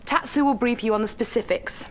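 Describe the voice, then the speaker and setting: An actress playing a composed, courteous Allied briefing officer in a video game, businesslike and deferential. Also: female voice, on the high side, brisk, slight background noise, lightly acted. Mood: approving, businesslike. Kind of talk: game mission briefing acting.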